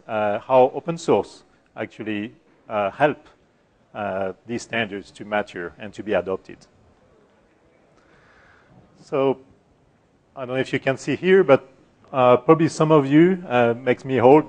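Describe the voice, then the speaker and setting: A soft-spoken middle-aged man with a French accent gives a technical talk at a conference. His pitch sits in an ordinary middle range, and he pauses often, with frequent fillers and restarts. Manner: halting, many fillers and restarts. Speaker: conference speaker. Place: conference room.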